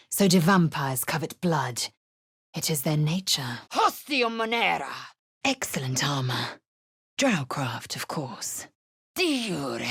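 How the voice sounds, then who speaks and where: An actress playing a stern, proud, impatient alien warrior woman in a video game, husky and clipped in delivery. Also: low-pitched, no room sound, studio quality, full character voice. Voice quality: husky, raspy. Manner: clipped, haughty, commanding. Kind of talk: video game character acting.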